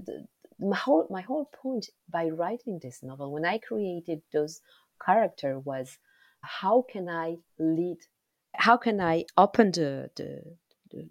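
Low-voiced woman, halting speech. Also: a little mellow, no echo. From Rwanda. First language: Kinyarwanda. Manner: halting, repeats words as she searches for them. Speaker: author, guest. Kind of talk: interview answers about her novel.

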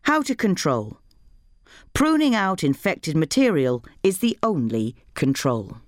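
A woman's voice: low-pitched, big pitch swings, a little slow, no room sound. A woman reading an informational guide as scripted narration. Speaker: podcast reader of a gardening guide.

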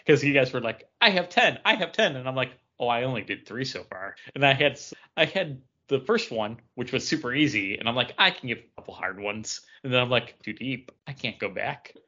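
Guy cohosting a podcast, casual trivia chat, normal pitch, kinda muffled lofi audio. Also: animated, fairly quick, sharp tone. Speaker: podcast co-host. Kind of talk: casual chat during a pub trivia game. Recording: dull, band-limited lo-fi audio.

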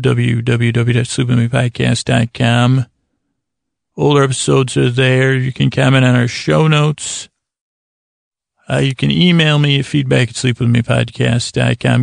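A man hosting a bedtime podcast in a halting, meandering monologue, repeating himself, with a gravelly voice close to the microphone. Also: medium pitch, dry recording. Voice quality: gravelly, creaky. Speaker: podcast host. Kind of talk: rambling bedtime monologue to help listeners sleep.

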